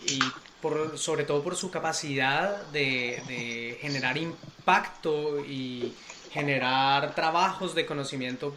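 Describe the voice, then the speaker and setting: A man with a fairly high-pitched voice hosts a podcast. He speaks in an articulate, earnest way, presenting and interviewing. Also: bright, forceful tone, a little hiss or hum. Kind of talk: scripted introduction, then conversational interviewing.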